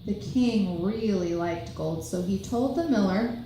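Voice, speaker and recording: A woman's voice: medium pitch, measured pace. A woman narrator reading a children's tale aloud with storytelling expression, in a very echoey room with faint noise.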